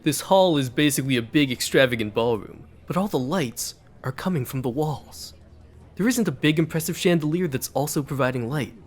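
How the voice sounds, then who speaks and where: A man playing an observant, suspicious guest in an amateur horror comedy, with a deliberate, wry, pointed way of speaking. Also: fairly high pitch, lively intonation. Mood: wryly observant. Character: observant, suspicious guest noting oddities in a strange house.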